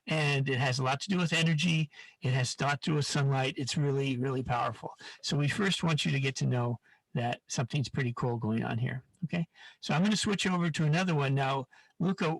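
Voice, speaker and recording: Male science educator, slightly high creaky voice, dry close sound, repeats himself emphatically while demonstrating. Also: no room sound, rough recording. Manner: repetitive, emphatic, often checking with "okay?". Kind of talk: live online demonstration, informal teaching.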